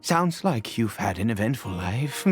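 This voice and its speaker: A cursed immortal nobleman from a fantasy game, weary and bitter. His delivery is slow and halting, full of anguish, and his pitch rises and plunges dramatically. Faint music plays behind him. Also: sing-song. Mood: guarded, apologetic. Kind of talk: dramatic video game voice acting.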